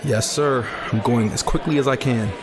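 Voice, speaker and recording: A man's voice: medium pitch, moderate pace, crowd noise, natural voice. A man playing a weary, reflective young betting clerk in a post-apocalyptic audio drama, speaking in a subdued, polite way. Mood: polite, procedural. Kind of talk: audio drama narration and dialogue. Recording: music under the voice. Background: music.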